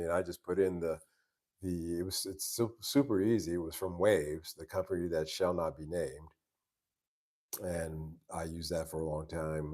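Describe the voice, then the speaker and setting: A man with a deep, very low voice hosts a relaxed live stream, chatting with viewers. He talks in an easygoing, meandering way, often restarting his sentences. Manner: easygoing, rambling, many restarts. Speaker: live stream host answering chat. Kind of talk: casual live stream chat. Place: live stream from home base abroad.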